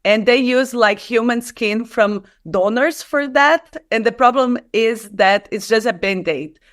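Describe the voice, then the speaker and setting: A woman's voice: on the high side, unhurried. A woman in her thirties from Brazil, a native Portuguese speaker with a Brazilian accent, explaining things earnestly in a conversational interview with non-native English phrasing. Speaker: guest, skin and stem cell researcher. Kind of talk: conversational interview, personal storytelling.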